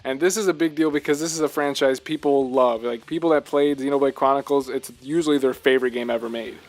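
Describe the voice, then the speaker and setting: A man's voice: mid-range voice, quick pace. A man co-hosting a casual podcast discussion about games, talking in an emphatic, enthusiastic fan's way. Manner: enthusiastic, emphatic fan talk. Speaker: podcast co-host. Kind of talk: casual video game discussion.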